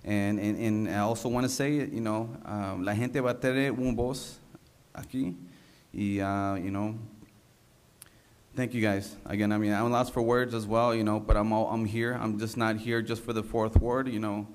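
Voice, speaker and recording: A man in his thirties speaks in a lowish, very even voice. He is a council member giving informal thanks, halting and repeating himself in an unpolished way. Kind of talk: informal acceptance speech at a public meeting. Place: large council chamber, indoors. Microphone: gooseneck desk microphone.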